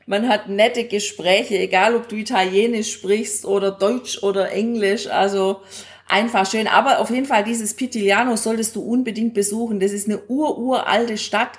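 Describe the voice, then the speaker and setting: A woman's voice: medium pitch, fairly even intonation, normal speed. A woman co-hosting a podcast, chatting casually and colloquially about travel. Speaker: podcast co-host. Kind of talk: casual conversational travel talk.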